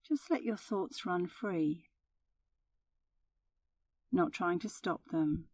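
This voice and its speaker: Female meditation guide: lowish, warm and relaxed voice, unhurried and soothing, gentle instructional narration. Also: no echo, distant mic.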